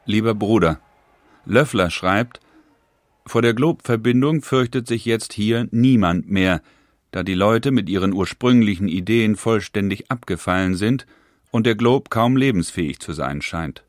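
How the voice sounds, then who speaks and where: Stern older brother figure , male actor, low voice, dry close sound, formal letter reading. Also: no room sound, lightly acted. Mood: grave. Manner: measured, grave and formal. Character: stern elder brother, head of a family firm. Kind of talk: reading a historical letter aloud.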